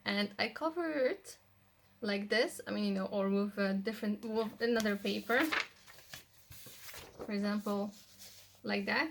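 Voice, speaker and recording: Young polish woman vlogging at home, bit high-pitched, rambly and casual, lots of "like". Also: fairly bright tone, a little hiss or hum. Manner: chatty, loose, restarts often with "like" and "you know". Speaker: language-learning vlogger. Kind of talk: casual how-to vlog.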